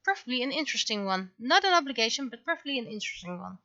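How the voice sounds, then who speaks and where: A woman livestreamer chatting casually and venting in an animated, exasperated way, acting out imagined exchanges as she goes. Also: on the high side, very fast, no echo, dull audio. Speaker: livestreamer, webcomic artist. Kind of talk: casual livestream chat, ranting.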